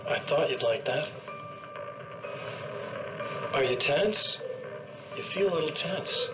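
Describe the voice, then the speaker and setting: An actor playing a smug, cruel evil double who boasts and gloats, in a video game cutscene. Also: male voice, a little high, lively intonation, takes it slowly, rough recording. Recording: game audio over loud music.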